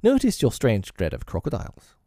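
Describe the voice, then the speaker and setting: A man's voice: mid-range voice, wildly swooping pitch, brisk, mellow, no room sound, clean studio sound. A man voicing a dim, eager, faithful pirate boatswain in a storybook, naive and earnest.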